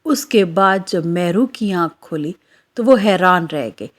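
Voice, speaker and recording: Woman narrator, lower pitch, slow pace, scripted children's storytelling. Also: expressive.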